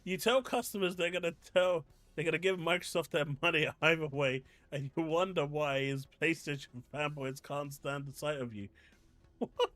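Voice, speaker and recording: A man's voice: on the high side, fairly even intonation, moderate pace, a bit bright, no echo, soft background music. A man with an English accent hosting a casual live stream from his desk at home, reading chat and ranting in disbelief. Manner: exasperated, ranting, incredulous.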